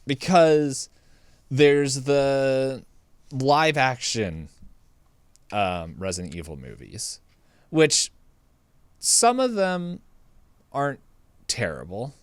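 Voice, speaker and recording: A man's voice: medium pitch, big pitch swings, very slow, long pauses, smooth, dry recording. A man co-hosting a podcast in casual chat, hesitant and rambling as he thinks aloud. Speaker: podcast co-host.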